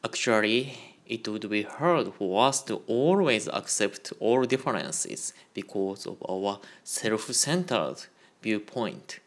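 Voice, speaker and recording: A man giving a Buddhist Dharma talk on a radio program, in a slow, measured and careful delivery. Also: fairly low pitch, expressive. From Japan. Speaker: Buddhist minister.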